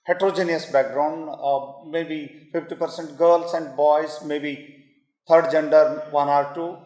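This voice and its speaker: A man with an Indian English accent speaks in a fairly high voice, earnest and halting, pausing on fillers before he presses into emphatic lists. He is a native Kannada speaker. The room gives his voice a roomy echo. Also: fairly even intonation, warm tone. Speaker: speaker giving a talk. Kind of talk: public talk.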